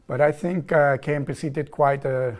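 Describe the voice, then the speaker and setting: A man's voice: on the high side, steady tone, a little mellow. German man in his forties explaining steadily. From southern Germany. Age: 40s. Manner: measured, explanatory, repeats set phrases. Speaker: interview guest, engineer. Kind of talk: TV studio interview. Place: TV studio.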